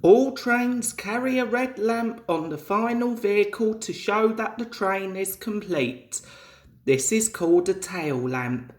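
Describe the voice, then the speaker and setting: Woman, children's story narration, unhurried and deliberate, mid-range pitch, roomy echo. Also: animated. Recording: echoey room.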